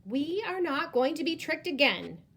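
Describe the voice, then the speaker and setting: A woman's voice: high voice, very animated, moderate pace, a bit bright, pitched above the performer's own voice, heavily acted. A woman voicing a group of annoyed village men who speak firmly and scold. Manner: firm, scolding.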